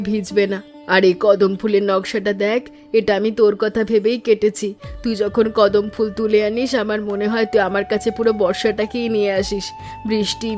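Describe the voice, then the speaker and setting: A woman playing a gentle, wise, doting village aunt in a cartoon story, with a tender, reflective way of talking. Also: medium pitch, natural voice. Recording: music under the voice.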